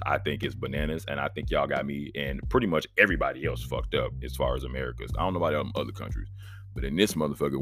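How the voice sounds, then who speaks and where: Very deep-voiced laid-back Oklahoma man. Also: fairly bright tone. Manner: rambling, profane, laid-back, laughs at his own jokes. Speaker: podcast host. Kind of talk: casual solo podcast monologue.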